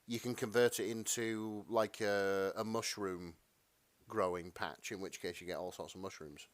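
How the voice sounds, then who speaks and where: A man's voice: lowish voice, fairly even intonation, normal speed, no echo. A man co-hosting a podcast in casual chat, agreeable and given to conversational asides. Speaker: podcast co-host.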